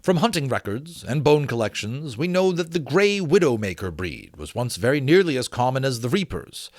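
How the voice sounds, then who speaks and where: Guy doing a stuffy gentleman naturalist from a storybook, formal n emphatic, voice goes up and down loads, dry sound. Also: mid-range voice, no echo, close to an everyday voice. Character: pompous learned Georgian gentleman scholar writing on dragons. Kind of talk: dramatic storybook reading.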